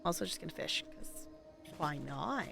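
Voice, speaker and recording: A woman's voice: low voice, expressive, moderate pace, vocal fry, music in the background. A woman in her twenties chatting casually as she streams a game, rambling from thought to thought with frequent laughs. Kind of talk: casual gameplay commentary.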